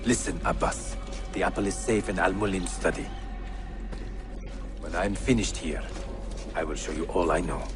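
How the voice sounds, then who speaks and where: A man acting a young assassin leader in a game cutscene, grave and resolute. His words are measured and formal, with the authority of someone used to command. Music plays clearly beneath him. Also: low-pitched, natural voice. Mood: grave, explaining. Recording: music under the dialogue.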